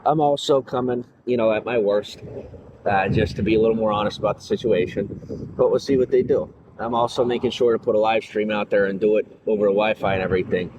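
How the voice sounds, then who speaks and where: A man's voice: fairly low pitch, fairly even intonation, fast talker. A man chatting casually to a livestream audience in a rambling, filler-heavy way, recorded outdoors on a noisy street with passing traffic. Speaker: streamer.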